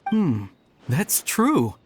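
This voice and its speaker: Nervous earnest young servant in a video game, lively halting speech, bit of noise. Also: male voice, on the high side, exaggerated voice. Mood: surprised, deferential. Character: anxious, earnest young male retainer, deferential. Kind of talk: scripted game voice acting.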